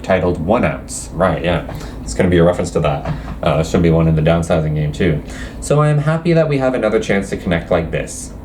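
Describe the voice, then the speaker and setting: Low-voiced man rambling casually. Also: a little mellow, slight background noise. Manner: rambling, hesitant, self-deprecating, reads quotes aloud. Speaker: channel host reacting to anime news. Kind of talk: casual reaction commentary.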